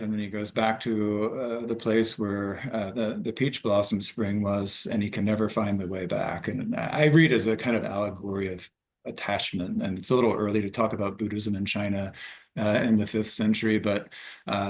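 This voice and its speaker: Male poet-professor, lowish voice, informal explanatory delivery with halting phrasing. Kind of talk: informal explanatory talk before a recital.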